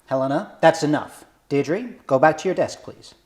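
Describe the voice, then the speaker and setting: A man's voice: on the high side, moderate pace, darkish tone, close to an everyday voice. A man playing an exasperated company boss in a drama table read, speaking with indignant authority. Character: exasperated male company boss objecting to a disruption.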